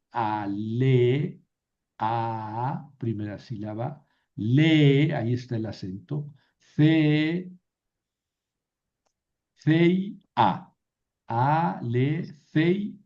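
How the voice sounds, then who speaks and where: Man, grammar teacher, steady mid voice, asks and answers his own questions; muffled recording. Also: medium pitch. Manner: patient, explains step by step and repeats key rules. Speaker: Greek teacher. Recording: dull lo-fi sound.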